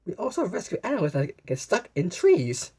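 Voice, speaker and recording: Woman as a friendly, husky fireman in a storybook. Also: fairly low pitch, wildly swooping pitch, normal speed, a little mellow, pitched above the performer's own voice, full character voice. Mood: explaining. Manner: welcoming, explanatory. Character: friendly helpful male fireman, adult, patient and folksy.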